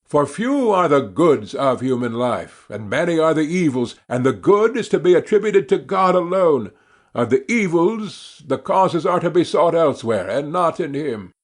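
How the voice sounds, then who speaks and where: A man narrating a classical philosophical dialogue as an audiobook reader, in a measured, formal manner. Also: fairly high pitch, sing-song.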